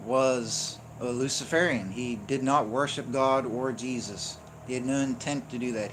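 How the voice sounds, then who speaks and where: A man teaching in an unscripted, insistent way, explaining point by point and checking in with "right?" and "okay?". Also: mid-range voice. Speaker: religious teacher. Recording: noticeable background noise.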